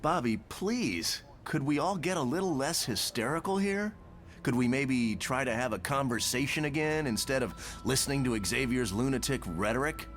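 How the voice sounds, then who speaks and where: A man's voice: medium pitch, expressive, moderate pace, fairly bright tone, faint music behind, close to an everyday voice. A man playing an aggrieved working-class father in a motion comic, pressing and exasperated. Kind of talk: motion comic character acting.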